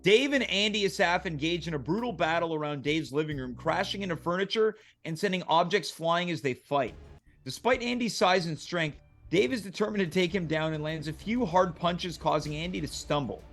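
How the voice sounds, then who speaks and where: Slightly high-voiced man narrating a script. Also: normal speed, a bit bright, soft background music. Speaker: narrator reading stage directions. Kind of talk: scripted narration at a comedic table read.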